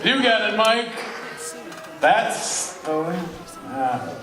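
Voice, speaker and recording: A man's voice: high voice, sing-song, drawn-out words, over music. A man doing comedy banter as a performer in a live stage show. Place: large hall with a live audience. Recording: very echoey hall, distant mic, crowd noise.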